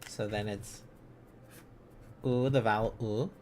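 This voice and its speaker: A man hosting an informal language-learning livestream, thinking aloud in a halting, casual, self-correcting way. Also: on the low side, fairly even intonation, a little mellow, slight background noise. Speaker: livestream host learning Korean along with viewers.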